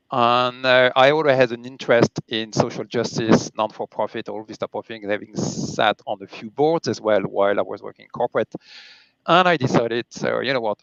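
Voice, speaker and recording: A middle-aged man talks casually, poking fun at himself. His voice is clean and smooth. The audio is dry and a little dull. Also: mid-range voice, fairly bright tone, no room sound. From France and Germany. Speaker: interview guest, charity chief executive. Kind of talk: casual, self-deprecating chat.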